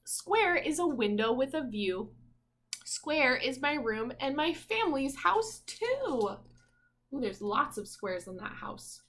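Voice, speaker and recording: A woman teacher reads a children's picture book aloud in a fairly high voice. Her pace is very slow and sing-song. She adds little exclamations and asides and invites young listeners in with questions. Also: very animated, a bit bright, slight background noise.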